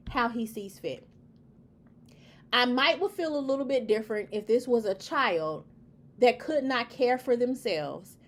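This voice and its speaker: A woman giving an opinion monologue, talking emphatically and repeating her points in a preachy, lecturing way. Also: mid-range voice. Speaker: commentary host.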